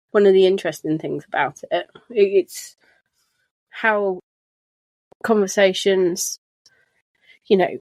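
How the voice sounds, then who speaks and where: A soft-spoken woman co-hosting a chatty podcast from her sofa at home, talking with frequent restarts. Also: medium pitch, no echo. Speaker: podcast co-host. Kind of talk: casual chat. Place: living room sofa at home. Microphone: shared desk microphone.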